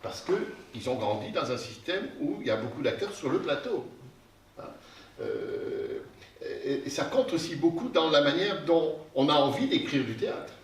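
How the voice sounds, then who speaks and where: A man's voice: a little high, very animated, moderate pace, a little hiss or hum. A man on a panel discussing theatre, conversational, with asides and fillers. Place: theatre hall. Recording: roomy hall mic from a distance.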